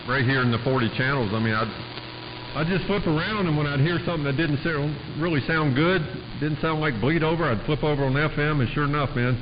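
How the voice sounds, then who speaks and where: A man's voice: fairly high pitch, fairly quick. A man chatting casually on a two-way radio in a folksy, rambling way full of radio lingo. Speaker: amateur radio operator. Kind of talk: casual radio chat between operators. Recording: muffled radio transmission with clear static noise.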